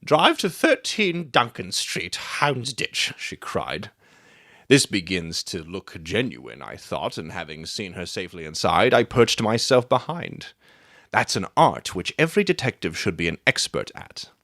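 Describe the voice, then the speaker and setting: Guy playing a confident victorian detective, brisk and self-assured, lowish voice, dry close audiobook sound. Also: very animated, bright, forceful tone, dry recording, heavily acted. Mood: wry storytelling. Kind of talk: dramatic audiobook acting.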